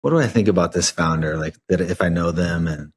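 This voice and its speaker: A man's voice: deep voice, a little fast, slight background noise. A man, talking casually as the host of a podcast interview.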